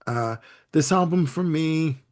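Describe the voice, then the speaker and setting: A man's voice: a little high, slow pace. A man whose first language is Italian, talking enthusiastically in a casual album discussion and rambling with "you know" and "like". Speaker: music show panelist. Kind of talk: casual panel chat about albums.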